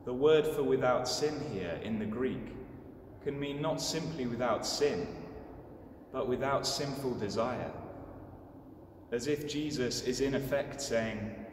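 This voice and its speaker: A young man delivering a scripted homily in a measured, solemn manner. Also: mid-range voice. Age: young adult. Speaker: clergyman giving a homily. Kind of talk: scripted sermon. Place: inside a large stone church. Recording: distant, echoing church, clearly noisy.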